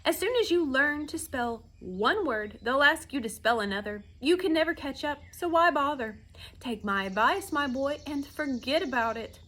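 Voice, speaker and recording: A woman's voice: high voice, animated, normal speed, fairly bright tone, voice pushed up. A woman voicing a pompous, blustering old male insect in a storybook, with a grandiose, declamatory delivery. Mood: dismissive, coaxing.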